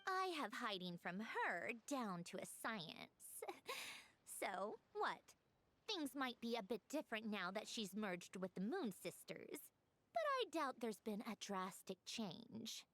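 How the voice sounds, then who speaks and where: An actress playing a self-assured, guarded young woman who speaks in a calm, measured, wry way. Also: medium pitch. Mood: cool. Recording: game music behind.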